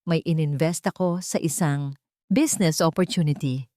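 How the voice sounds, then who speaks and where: A woman's voice: low-pitched, moderate pace, mellow, no echo, clean studio sound, close to an everyday voice. A woman voicing a defensive, secretive, short-tempered husband in a narrated family drama. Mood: resigned, confessing.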